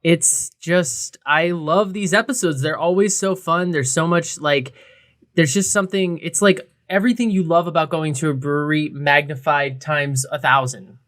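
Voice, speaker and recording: A man's voice: fairly high pitch, fairly even intonation, moderate pace, a bit bright. A man co-hosting a podcast, talking casually with a wry, joking manner. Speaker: co-host of a craft beer podcast.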